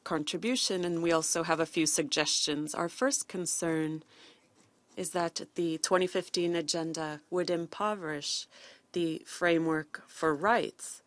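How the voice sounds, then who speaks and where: A woman giving live interpretation of a formal speech, in halting phrases with false starts. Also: deep voice, steady tone, no room sound. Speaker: simultaneous interpreter.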